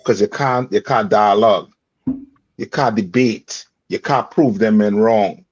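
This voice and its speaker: Husky man preaching with emphatic questions. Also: on the low side, unhurried. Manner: emphatic, rhetorical questions, repeats "read on" and "you understand". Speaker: teaching elder leading scripture reading. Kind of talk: call-and-response scripture teaching. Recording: dull lo-fi dry sound.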